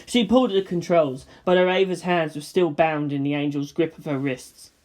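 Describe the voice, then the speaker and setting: A woman's voice: sits low, moderate pace, darkish tone. A woman narrator reading fan fiction as dramatic scripted narration.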